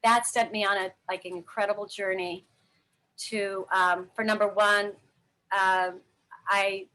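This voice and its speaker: A woman's voice: medium pitch, flat delivery, unhurried, bright, forceful tone, low-quality recording. A middle-aged woman telling her life story candidly in an interview, rambling with many fillers and restarts. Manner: frank, earnest. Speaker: interview guest.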